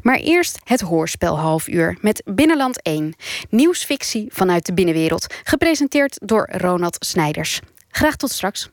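A woman's voice: lowish voice, sing-song, measured pace, no room sound, clean studio sound. A woman with a Netherlands Dutch accent giving a scripted programme introduction as a radio presenter.

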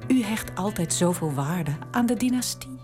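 An actress playing a dutiful princess daughter in a radio drama, speaking gently and deferentially. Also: female voice, mid-range voice, animated, normal speed, faint music behind, natural voice. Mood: persuasive.

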